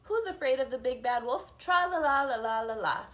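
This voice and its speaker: A woman's voice: sits high, animated, moderate pace, a bit bright. A woman voicing carefree, playful young male pigs from a storybook in a teasing, singsong manner. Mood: merry singsong.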